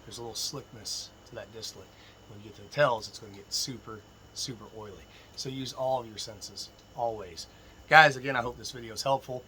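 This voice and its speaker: A man with a slightly froggy, hoarse voice gives informal, emphatic instruction as a distilling teacher talking to viewers. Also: lowish voice, fairly quick, sharp tone, a little hiss or hum, rough recording. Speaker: distilling instructor and video host. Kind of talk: informal instructional talk to camera.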